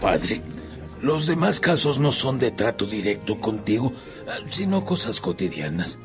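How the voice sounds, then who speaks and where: A man's voice: medium pitch, very animated, a little slow. A man acting as a dutiful, deferential church assistant in a radio drama, announcing visitors in an orderly way. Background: music.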